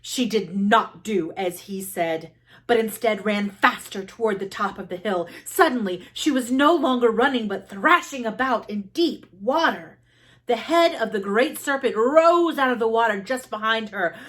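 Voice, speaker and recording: A woman reading a children's story aloud as expressive narration, with deliberate dramatic emphasis and pauses. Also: on the high side. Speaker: storybook reader.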